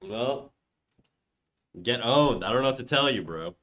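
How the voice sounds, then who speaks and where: A man's voice: medium pitch, expressive, unhurried, bright, forceful tone. A young man with a cold, sounding stuffy and nasal, chatting casually and swearing on a livestream. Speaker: streamer. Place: home room.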